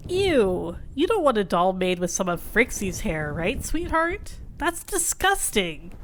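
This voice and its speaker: A woman's voice: medium pitch, very animated, unhurried, a bit bright, slight background noise. A woman playing a jealous, obsessive neko in an ASMR roleplay, smug and catty, sweetly possessive. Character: jealous, snide, obsessive cat person rival.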